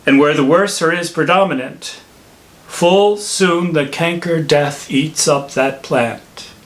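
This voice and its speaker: A man playing a wise old holy friar in a classical Shakespearean monologue, delivering verse in a deliberate, theatrical manner. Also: a little high, a bit bright. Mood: musing, reverent.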